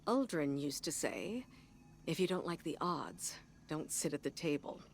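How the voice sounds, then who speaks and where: An actress playing a solemn, weary woman officer burdened by guilt, speaking in a measured, earnest way in a video game. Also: low voice, sing-song, vocal fry, a little hiss or hum, exaggerated voice. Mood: grave, confiding. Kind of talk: dramatic video game voice acting.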